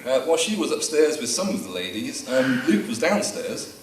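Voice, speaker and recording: A man's voice: mid-range voice, big pitch swings, normal speed, background noise. A man giving a best man's speech at a wedding, with dry, teasing humour read from his prepared notes. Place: wedding reception room. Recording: echoey room taped from far off.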